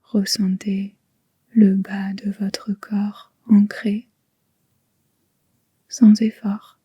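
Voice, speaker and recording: A woman guiding a yoga nidra relaxation in a soft, breathy near-whisper, gentle and soothing, with long unhurried pauses. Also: medium pitch, little pitch movement, dry recording. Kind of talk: guided relaxation meditation.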